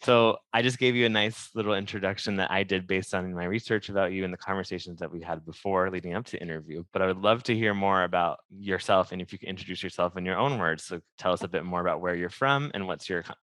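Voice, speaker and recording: A man's voice: deep voice, steady tone, fast talker, hardly any pauses, fairly bright tone, no echo. A man hosting a podcast. He reads an upbeat scripted introduction and asks friendly interview questions. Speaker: podcast host.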